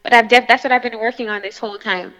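Bright-voiced Jamaican woman, animated. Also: a little high, fast talker, big-room sound, rough recording. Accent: Jamaican, with some American influence. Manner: animated, with frequent fillers and restarts. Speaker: dancehall singer, guest. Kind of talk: casual interview talk.

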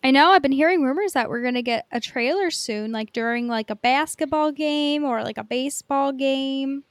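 A woman's voice: high-pitched, moderate pace, no room sound, clean studio sound. An American woman hosting a podcast in a casual, conversational way, often saying "like" as she goes. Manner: informal and conversational, peppered with "like". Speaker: podcast host.

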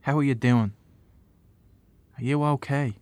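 A man's voice: medium pitch, fairly even intonation, a little slow, a little mellow, no room sound, lightly acted. Terse young man, closely recorded, an actor. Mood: subdued, probing. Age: young adult. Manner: terse, understated. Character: reserved young man, student son, gently probing. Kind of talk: naturalistic audio drama acting.

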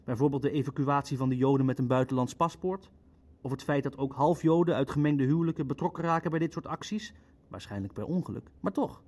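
An actor playing a sceptical, precise government bureaucrat in an audio drama, speaking in a measured, pointed, formal way. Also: male voice, mid-range voice, darkish tone, close to an everyday voice. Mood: measured, critical. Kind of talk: Dutch audio drama acting.

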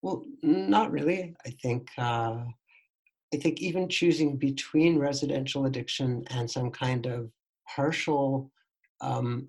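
Husky-voiced man explaining thoughtfully. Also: mid-range voice, darkish tone, rough recording. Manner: thoughtful, explanatory, with frequent restarts. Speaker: neuroscientist guest. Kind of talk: conversational interview answers.

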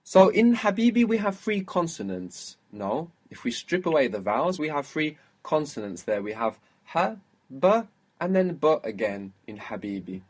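Husky-voiced male language teacher. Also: on the high side, very animated. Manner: patient, explanatory, spells out sounds slowly. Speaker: Arabic teacher. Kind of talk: one-on-one language lesson.

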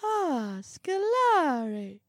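A woman's voice: high voice, very animated, slow, dark tone, dry recording, studio quality, pitched above the performer's own voice, exaggerated voice. A woman voicing a fussy, condescending little man in an audiobook, prim and fluttery in manner. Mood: exulting.

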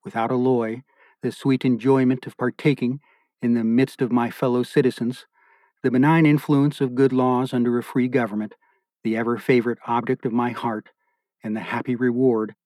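A man working as an audiobook narrator reads scripted text in a formal, measured and solemn manner. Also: mid-range voice, flat delivery, darkish tone, no room sound.

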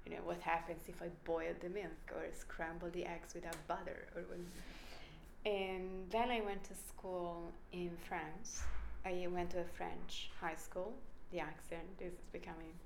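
Italian lady scientist explaining stuff, emphatic, lowish voice, roomy echo. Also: flat delivery, measured pace, gravelly, a little hiss or hum. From Italy. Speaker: brain researcher guest. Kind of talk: conversational interview.

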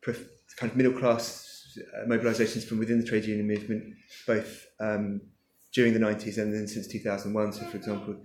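A man's voice: lowish voice, level tone, brisk, hardly any pauses, a little mellow. A man, the author at his book launch, answering audience questions hesitantly with many fillers as he thinks aloud. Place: lecture room with an audience. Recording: echoey room.

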